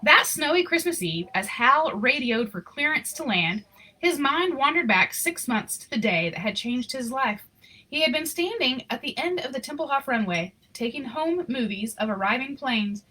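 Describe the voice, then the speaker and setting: A woman reading a picture book aloud in an expressive storytelling style, with casual, informal asides. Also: high-pitched, normal speed, breathy edge, rough recording. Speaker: reader of picture books aloud.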